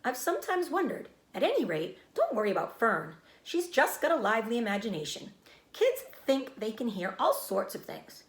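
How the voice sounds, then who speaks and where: A woman's voice: a little high, normal speed, reverberant, pitched above the performer's own voice. Woman reading as an easygoing, amused father. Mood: amused, reassuring. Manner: relaxed, reassuring.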